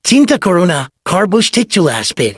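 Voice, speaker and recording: A woman voicing a calm, steady tortoise racer in a children's cartoon. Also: medium pitch, fairly quick, noisy background, close to an everyday voice. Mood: calm, assured.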